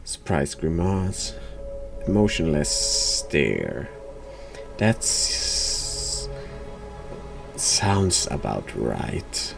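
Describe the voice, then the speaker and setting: A man streaming a horror game, giving casual reaction commentary in a halting, dry deadpan way. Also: a bass voice, gravelly, music in the background.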